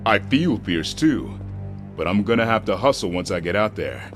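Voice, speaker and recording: A wry, good-natured creature hero from a video game, played by a man. The voice sits low but moves in a lively way, with an easygoing, dry delivery. Music plays clearly underneath. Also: normal speed, close to an everyday voice. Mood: amused confidence. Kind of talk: video game cutscene acting.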